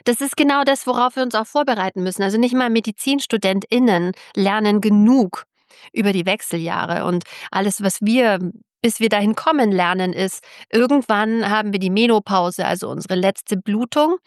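A middle-aged woman with a medium-pitched voice talks as a podcast guest. She is candid and emphatic, repeating words to drive a point home. The sound is close and very dry. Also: normal speed, no echo. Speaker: interviewed author. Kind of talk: podcast interview talk.

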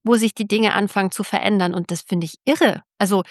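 A woman's voice: medium pitch, a little fast, dry recording, clean studio sound. A middle-aged woman speaking candidly and emphatically as an author being interviewed on a podcast, often repeating words for emphasis.

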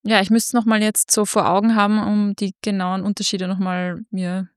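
A woman's voice: medium pitch, little pitch movement, normal speed, clean tone, dry recording, clean studio sound. A woman chatting casually about literature as a podcast co-host.

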